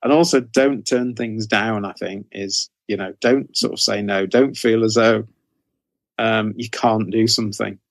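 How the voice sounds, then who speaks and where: A man's voice: on the low side, fairly even intonation, unhurried, creaky, no echo. A middle-aged man chatting casually as an interview guest, informal and often hesitantly rephrasing himself. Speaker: guest author interviewed about writing career.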